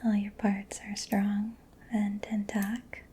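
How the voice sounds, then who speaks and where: A woman whispering breathily in a gentle, reassuring, unhurried ASMR roleplay as a mechanic. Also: mid-range voice, little pitch movement. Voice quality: breathy whispering, mostly unvoiced. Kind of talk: soft-spoken ASMR personal attention roleplay.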